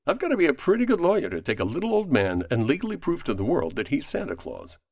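A man's voice: a little high, wildly swooping pitch, fast talker, dry recording, clean studio sound, full character voice. A man playing an earnest, persuasive, kindly lawyer in a holiday radio play. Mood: gently persuasive.

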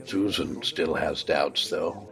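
An actor playing a gentle, kindly old Santa Claus in a radio play, soft-spoken and unhurried. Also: male voice, extremely low pitch, steady tone, creaky, exaggerated voice. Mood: gently resigned.

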